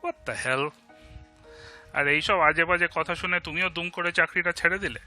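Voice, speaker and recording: Man acting, sardonic loyal bachelor friend, Indian Bengali accent, higher-pitched, sharp forceful tone, emphatic, audio drama. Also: racing, soft background music. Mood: incredulous, sarcastic. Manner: sarcastic, emphatic, switches between English and Bengali.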